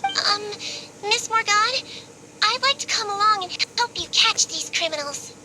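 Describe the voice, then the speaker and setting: A woman voicing a small childlike nurse in a video game, gentle, polite and earnestly determined. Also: very high-pitched, animated, a little slow, background noise. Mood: earnest, hesitant. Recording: game audio under loud music.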